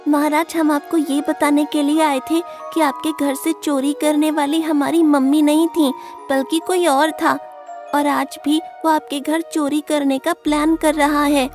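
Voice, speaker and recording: A woman puts on a little boy bird for a cartoon tale. The voice is pitched very high, childlike and earnest, with polite, clever delivery. Music plays behind it. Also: level tone, measured pace, a little mellow. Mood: earnest, confident. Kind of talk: Hindi children's cartoon story acting.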